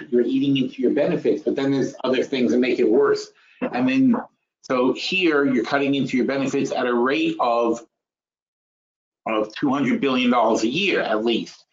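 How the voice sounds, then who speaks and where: A man of fifty-five giving an informal, explanatory financial lecture as a webinar host, blunt and emphatic, thinking aloud, repeating phrases and asking rhetorical questions. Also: medium pitch. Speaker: webinar host and trading educator. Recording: roomy, dull lo-fi webinar audio.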